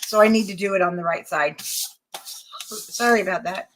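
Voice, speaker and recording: A woman's voice: medium pitch, a little slow, a little hiss or hum, rough recording. An older woman teaching a craft tutorial, chatting and greeting viewers as she works, her voice wearing thin. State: losing her voice. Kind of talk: live-streamed craft tutorial.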